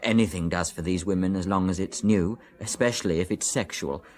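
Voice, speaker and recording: An actor playing an uneasy, reserved physician with an English accent and a clipped, hesitant manner in a radio drama. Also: male voice, low voice, fairly quick, close to an everyday voice. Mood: awkward, dry.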